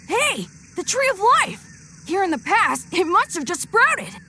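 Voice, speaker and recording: A woman voicing a plucky, earnest young boy hero in an animated game, boyish and exclamatory. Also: extremely high pitch, a little slow, a little hiss or hum, heavily acted. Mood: eager, startled.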